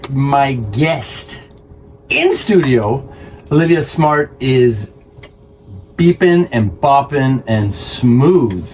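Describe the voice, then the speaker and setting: A man's voice: mid-range voice, animated, a little mellow, a little hiss or hum. Eager radio host man. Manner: eager, interjecting, quick reactions. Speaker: radio sports show host. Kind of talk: radio studio interview.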